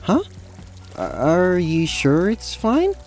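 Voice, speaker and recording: A high, swooping voice for a nervous, earnest fellow in a fantasy audio drama, played by a man. He stammers and hesitates as if weighed down by guilt, with music underneath. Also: huge pitch swings, slow pace, darkish tone, heavily acted. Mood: sheepish, guilty. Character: nervous, guilt-ridden, earnest male ex-fiancé. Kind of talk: acted fantasy audio drama from tabletop game.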